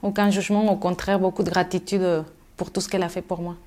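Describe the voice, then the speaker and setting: A woman's voice: fairly low pitch, level tone, fast talker, dark tone. Hesitant woman from Mexico. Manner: thoughtful, many fillers and restarts. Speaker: guest. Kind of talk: interview answers.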